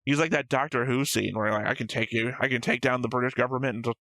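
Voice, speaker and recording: A man's voice: medium pitch, fairly even intonation, fast, pushed and bright, no room sound. Casually rambling man, dry close sound. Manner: rambling, swears freely, thinks aloud. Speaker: reaction show co-host. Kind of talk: casual analytical chat about an anime episode.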